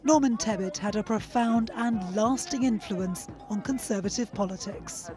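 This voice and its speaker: A woman's voice: medium pitch, moderate pace, noisy background. A woman reporter narrating a scripted obituary for a news programme.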